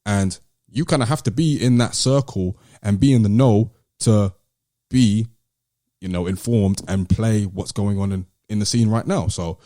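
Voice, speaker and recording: A British man co-hosting a podcast, talking in a casual, measured and articulate way with some slang. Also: on the low side, mellow, no echo, clean studio sound. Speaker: podcast host. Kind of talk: casual discussion and commentary.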